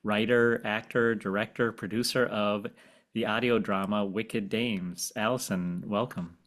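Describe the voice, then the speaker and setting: A man's voice: fairly low pitch, fairly even intonation, unhurried, a bit bright. A man hosting a podcast, interviewing a guest in a conversational style.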